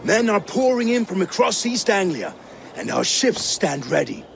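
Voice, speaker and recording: Loyal viking strategist, high voice, gravelly edge, earnest. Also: male voice, normal speed, a little hiss or hum, full character voice. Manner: earnest, planning, steady. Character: loyal male Viking ally, calm strategist. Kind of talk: video game cutscene acting.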